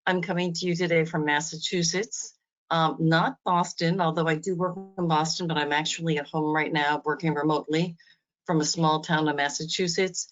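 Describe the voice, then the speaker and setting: A woman's voice: low voice, steady tone, normal speed. A woman facilitating a panel from her home on a video call, speaking in a friendly, easygoing, self-deprecating way. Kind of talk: conversational introductions on a video call. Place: home office. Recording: dull lo-fi video call audio.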